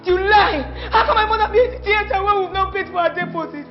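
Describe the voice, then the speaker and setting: A frantic young daughter with a Nigerian accent, played by a woman, speaks in a very high voice and repeats herself again and again. The recording is dull and lo-fi, with faint music underneath. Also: expressive, fast talker. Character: frantic young daughter demanding her mother. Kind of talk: film acting.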